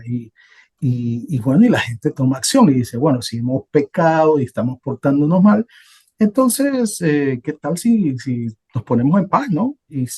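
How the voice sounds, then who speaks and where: A man from Honduras talks through Bible stories in an easy, unhurried way, pausing often to find his words. His voice has a husky, slightly breathy grain. He sounds like a relaxed podcast co-host. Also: medium pitch. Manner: relaxed, thinking aloud with many hesitations. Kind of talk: casual conversational talk about Bible passages.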